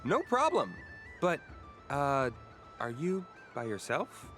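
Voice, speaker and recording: Ride attendant: young, showy scripted patter with casual slips, bright, very animated delivery, video game. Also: male voice, mid-range voice, slow pace, soft background music, heavily acted. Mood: polite, helpful. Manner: showy announcer patter slipping into casual bewilderment. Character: chirpy young male fairground ride attendant reciting script. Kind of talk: video game story dialogue.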